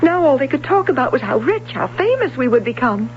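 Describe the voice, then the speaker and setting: A woman's voice: high voice, big pitch swings, brisk. An actress playing an anxious young woman, a former swimming prodigy, earnest and hesitant, in a 1970s radio drama. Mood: confessional. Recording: dull old radio broadcast, faint hum.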